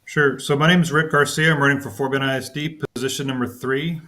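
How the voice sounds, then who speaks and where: A man in his thirties introducing himself as a school board candidate in an upbeat, brisk way. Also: mid-range voice, fairly even intonation, bright, forceful tone. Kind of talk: campaign introduction.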